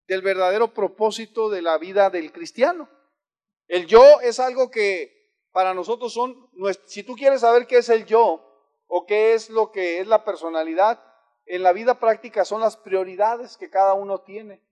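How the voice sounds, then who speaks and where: A man's voice: sits high, a little mellow. A man preaching a sermon in an earnest, exhorting manner, often restarting his phrases. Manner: earnest, exhorting, with frequent restarts and varied emphasis.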